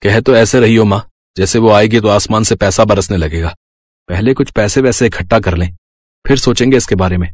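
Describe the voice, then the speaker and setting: A man playing a dutiful, weary young farmer son in a cartoon village story, soft-spoken and mildly complaining. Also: on the low side, brisk, dry recording, lightly acted. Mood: reluctant. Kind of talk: cartoon moral story dialogue.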